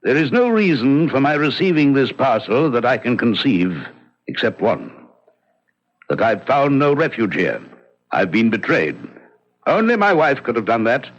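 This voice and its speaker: Menacing aristocrat, medium pitch, formal, sardonic, theatrical, bitter, dull vintage radio sound. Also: male voice, sing-song, normal speed. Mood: grim, suspicious. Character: proud, bitter, menacing male aristocrat with trophies. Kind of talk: old radio drama acting.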